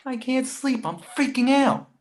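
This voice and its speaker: A man's voice: very high voice, lively intonation, measured pace. A man playing a nervous, nagging confidant who argues and worries aloud in a screenplay table read. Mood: nervous, agitated. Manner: argumentative, worrying aloud. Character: Victor, nervous nagging male confidant of a writer.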